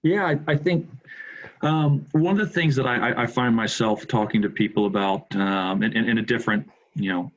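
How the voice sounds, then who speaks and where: A man's voice: medium pitch, normal speed, dull audio. A middle-aged American man from the Midwest, a podcast guest chatting casually and repeating words as he starts his thoughts. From Indianapolis, USA. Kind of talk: casual interview chat.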